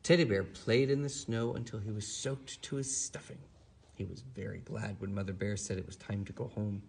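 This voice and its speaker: A man reading aloud to a child in an expressive, animated storytelling manner. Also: lowish voice, normal speed, gravelly, slightly rough. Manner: animated, acts out character lines. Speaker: storybook reader. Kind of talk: expressive read-aloud of a children's story, then casual chat.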